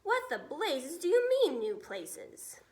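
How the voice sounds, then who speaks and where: A woman's voice: high-pitched, wildly swooping pitch, takes it slowly, a little mellow, voice pushed up, heavily acted. A woman voicing a blustering, panicky male Air Force chief from a storybook, exclaiming in exasperation. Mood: alarmed, shouting.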